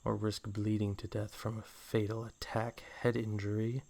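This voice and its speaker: A man's voice: fairly low pitch, completely flat delivery, creaky, darkish tone. A man voicing a possessive, seductive naga serpent hypnotist in a fan audio drama, coaxing his lover with a theatrical villain purr. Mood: brooding, scheming. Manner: slow, coaxing, theatrical villain purr, often near whispering.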